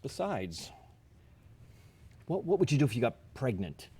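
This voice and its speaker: Actor as a mellow, stubborn 1950s husband. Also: male voice, medium pitch, very animated, unhurried, breathy edge, full character voice. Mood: stubborn, defensive. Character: stubborn, proud 1950s husband, fond but dismissive. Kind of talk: staged play reading. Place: theatre stage.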